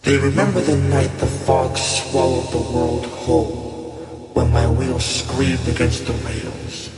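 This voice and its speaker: Man voicing a slow, echoing ghost locomotive, grave and ominous. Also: mid-range voice, fairly even intonation, close to an everyday voice. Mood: brooding, grave. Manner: grave, deliberate, theatrical pauses, foreboding. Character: haunted old ghost steam locomotive, male, ominous and brooding. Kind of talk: dramatic scripted horror monologue. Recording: roomy long reverb, distant, music behind.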